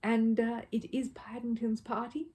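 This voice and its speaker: A woman's voice: fairly high pitch, flat delivery, unhurried, warm tone, slight background noise, pitched above the performer's own voice, lightly acted. A woman voicing a genial middle-aged father in a children's storybook, speaking tactfully as if announcing. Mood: hasty, pointed.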